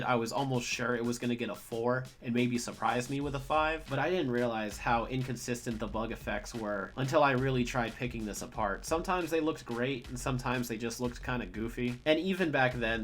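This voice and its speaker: A young man talks through a cartoon review in an informal, opinionated style. His pitch sits in the middle of the male range. There is some background noise with clicks behind him. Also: a bit bright. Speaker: video reviewer. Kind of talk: casual review commentary on a cartoon episode.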